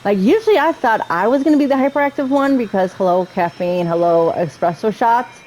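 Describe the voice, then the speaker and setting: A woman giving casual, chatty gameplay commentary, excitable and often interrupting herself. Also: a little high, brisk, a little hiss or hum, rough recording. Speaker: video game streamer playing through a story quest.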